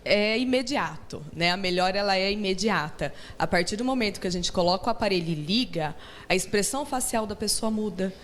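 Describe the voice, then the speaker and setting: A woman's voice: mid-range voice, lively intonation, fairly quick, slight background noise. A woman with a Brazilian Portuguese accent, a hearing therapist guest on a TV show, explaining patiently in a studio and often adding "né?". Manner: patient, explanatory, tags sentences with "né?". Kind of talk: explanatory interview talk.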